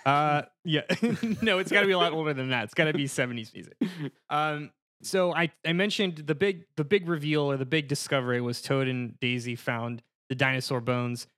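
A man on a casual panel show pitching a comedic movie scene by scene, in a halting, mock-formal narrating manner. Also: a bit bright, no room sound, studio quality.

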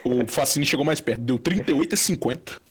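A man's voice: medium pitch, quick pace, slightly rough. A young man from Brazil telling a long, rambling anecdote in casual chat, full of fillers and restarts. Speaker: storyteller guest.

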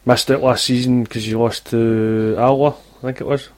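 A Scottish man hosting a football podcast, chatting in a relaxed way and asking his guests questions. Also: medium pitch, normal speed. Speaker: podcast host. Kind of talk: casual chat.

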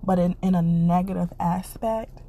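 A woman's voice: on the low side, steady tone, normal speed, dark tone. A woman hosting a podcast, giving an earnest, encouraging casual monologue and repeating her phrases, congested and stuffy. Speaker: podcast host.

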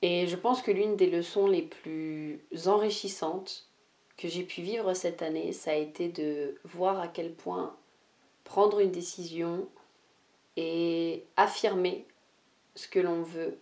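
A young woman hosting a solo podcast, talking without notes and often pausing with fillers and restarts. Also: on the low side, fairly even intonation, darkish tone. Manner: hesitant, many "euh" fillers and restarts. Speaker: podcast host, life mentor. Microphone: podcast microphone.

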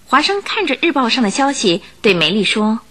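A woman narrating a radio drama in an expressive, measured storytelling manner. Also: fairly high pitch. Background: music.

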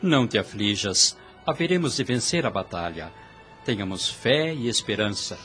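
A man's voice: on the low side, animated, normal speed, a bit bright. An actor playing a devoted, brooding younger man in a period radio drama, with a theatrical, formal, intense delivery. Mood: tender, reassuring. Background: background music.

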